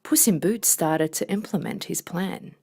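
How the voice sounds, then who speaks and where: A woman narrating a children's fairy tale from a script in a dramatic, expressive storytelling manner. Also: lowish voice, a little slow, husky. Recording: music bed behind voice.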